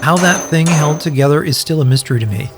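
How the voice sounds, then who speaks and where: An actor playing a sincere, joking wasteland survivor in an audio drama, speaking earnestly and hesitantly with wry, profane humour. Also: male voice, a little high, fast talker, background noise, natural voice. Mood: wistful. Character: sincere, grateful, joking male wasteland survivor, loyal friend. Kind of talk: audio drama dialogue, naturalistic.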